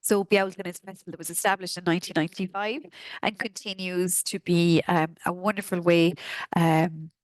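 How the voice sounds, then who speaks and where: A woman from Kerry with a Kerry Irish accent, hoarse from a sore throat, chattily walking through an events newsletter. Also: lowish voice, steady tone, a little fast, no room sound, rough recording. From Kerry, Ireland. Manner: chatty, friendly, frequent ums and uhs. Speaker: arts office presenter.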